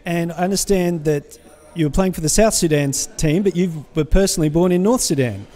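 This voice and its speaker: A man's voice: fairly high pitch, fairly quick, mellow. A man with an Australian accent putting short, direct questions to a footballer. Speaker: interviewer presenter.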